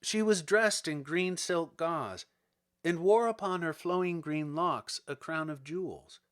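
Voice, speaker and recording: A man narrating a children's storybook as an audiobook reader, in an expressive and measured manner. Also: on the high side, smooth.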